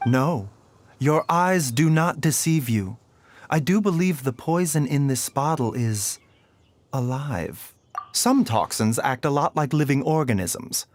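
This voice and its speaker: A man voicing a composed, scholarly healer in a video game, soft and measured, courteous with a sly teasing formality. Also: mid-range voice, animated, lightly acted. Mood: calm, analytical. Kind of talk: video game character acting.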